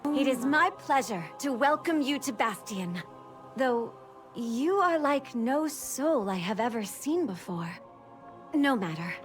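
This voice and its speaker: A woman's voice: sits high, expressive, slow, over music. A woman voicing an earnest, dutiful celestial guide in a video game, formal and courteous. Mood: welcoming.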